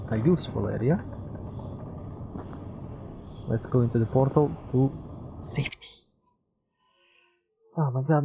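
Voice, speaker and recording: A man's voice: medium pitch, mellow. A man giving casual live video game commentary as a streamer, thinking aloud, muttering to himself and swearing freely. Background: music.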